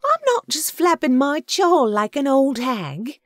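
A woman's voice: high voice, very animated, measured pace, dry recording, clean studio sound, pitched above the performer's own voice. A woman voicing a motherly, feisty, devout older woman who talks earnestly and scolds in folksy turns of phrase. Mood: indignant.

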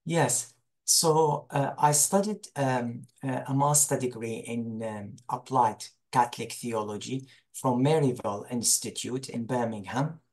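Guy in his 40s w an iraqi accent, mid pitch, chatting on a video call, kinda halting as he hunts for words. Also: darkish tone. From Iraq. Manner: hesitant, searching for words. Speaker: guest, religious teacher. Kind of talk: informal interview talk. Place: small room indoors, on a video call.

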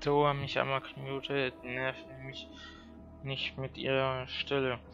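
A man's voice: mid-range voice, completely flat delivery, a little slow, vocal fry, fairly bright tone, highs cut off, soft background music. A man chatting casually while gaming, giving short callouts and grumbling at his teammates. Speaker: gamer recording gameplay with friends.

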